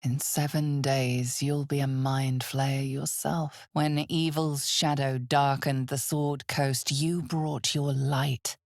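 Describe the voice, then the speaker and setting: A woman playing an ominous, knowing narrator of a dark fantasy video game, speaking in a measured, dramatic, hushed way. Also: very deep voice, little pitch movement, no room sound, studio quality, heavily acted.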